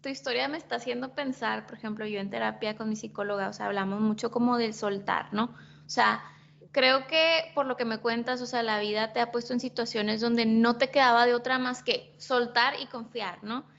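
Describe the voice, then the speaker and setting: A woman's voice: a little high, fairly even intonation, moderate pace, vocal fry, a bit bright. A woman co-hosting a podcast, musing reflectively with many fillers. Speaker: podcast co-host. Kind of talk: casual podcast chat. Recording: dull lo-fi sound.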